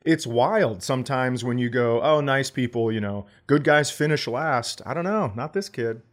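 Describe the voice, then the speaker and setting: Male comedian co-host, middle-aged, medium pitch, casual and emphatic banter. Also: expressive, moderate pace.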